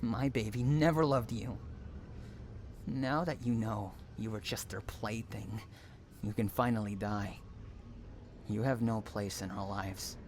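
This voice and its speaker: A man playing an obsessive, unhinged stalker and kidnapper in an intimate audio roleplay, taunting and swinging between soft coaxing and menace. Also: fairly low pitch, fairly even intonation, a little slow, pauses often, a little hiss or hum, close to an everyday voice. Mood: contemptuous.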